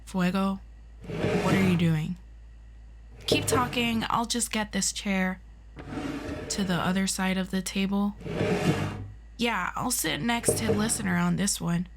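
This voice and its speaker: A woman's voice: medium pitch, measured pace, vocal fry, pauses often, heavy background noise. A woman playing a nervous, protective young superheroine who blurts things out informally in an ASMR roleplay. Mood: indignant, bright. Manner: informal, interjecting, reassuring.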